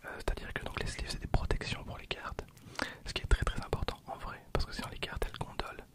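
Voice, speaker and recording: Guy in his 20s doing asmr, all whispered, soft murmur, chatty and casual. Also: fast talker. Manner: hushed, softly murmured, informal. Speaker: ASMR video creator. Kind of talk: whispered ASMR, casual card-opening commentary.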